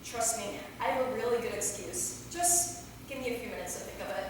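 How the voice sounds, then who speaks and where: In a very echoey, noisy hall, a woman plays a cheeky young female vampire. Her high voice is slangy and sarcastic, teasing her way through a stage comedy. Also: very animated, brisk. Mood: flippant. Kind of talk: staged reading of a comedy play. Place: theater, recorded from a distance. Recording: very echoey hall, far mic, clear noise.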